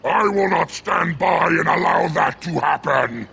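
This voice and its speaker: Slow, deeply gravelly werewolf guardian. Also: male voice, expressive, background noise, exaggerated voice. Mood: defiant. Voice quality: gravelly, growling. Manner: slow, solemn, threatening speech. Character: fierce, loyal werewolf guardian of the forest, growling and menacing. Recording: game audio with music underneath. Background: game music.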